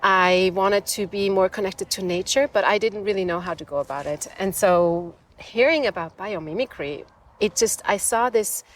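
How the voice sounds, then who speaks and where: A woman from Switzerland, a design professor, telling her story with enthusiasm in a relaxed outdoor interview. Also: mid-range voice, normal speed. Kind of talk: conversational interview. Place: outdoors in a desert botanical garden.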